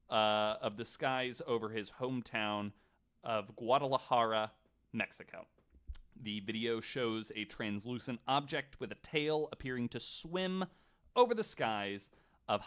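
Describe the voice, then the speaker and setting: A man co-hosting a podcast, telling a story casually in a skeptical, wry, somewhat halting way. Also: medium pitch, fairly bright tone. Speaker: podcast co-host.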